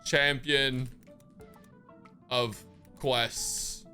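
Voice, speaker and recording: A man's voice: mid-range voice, level tone, extremely slow, bright, forceful tone, faint music behind. A man chatting casually as a live game streamer, slangy and joking, with swearing and hyperbole. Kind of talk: casual livestream chat.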